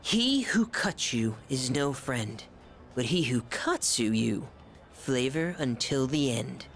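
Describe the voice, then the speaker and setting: An actor voicing a gluttonous, self-important high-school boy in a video game, in a bombastic, theatrical manner. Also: expressive, takes it slowly, creaky, a bit bright, faint music behind, full character voice. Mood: showy. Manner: bombastic, dramatic swings between squeals and low asides. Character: gluttonous, pompous, theatrical male high-school student, self-styled gourmet.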